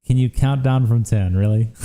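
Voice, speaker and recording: A man's voice: mid-range voice, dark tone. A young man hosting a livestream, chatting casually and profanely with viewers while worn out from days of streaming. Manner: casual, profane, reacts to chat with quick asides. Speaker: livestream host. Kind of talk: casual livestream chat reading viewer comments.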